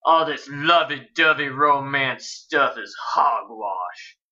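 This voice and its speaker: Woman doing a gruff, scornful cowboy. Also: rumbling low, lively intonation, slow pace, sharp tone, highs cut off, full character voice. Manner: growling, blunt.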